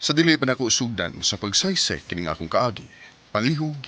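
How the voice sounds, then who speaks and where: A man's voice: mid-range voice, big pitch swings, brisk, sharp tone. A man playing a polite young letter sender narrating his story on radio drama, with a Cebuano Filipino accent. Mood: polite, earnest.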